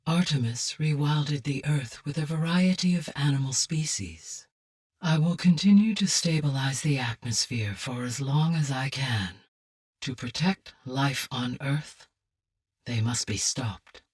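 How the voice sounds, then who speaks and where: A woman playing a calm, caring, erudite artificial intelligence in a video game, speaking formally and precisely in a low, husky voice. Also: recorded from a distance, heavily acted. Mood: calm, informative. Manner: formal, measured, precise diction. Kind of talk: scripted video game dialogue.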